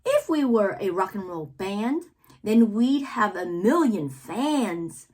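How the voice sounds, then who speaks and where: Woman, children's read-aloud, slow pace, very animated pitch, theatrical delivery, drawn-out words.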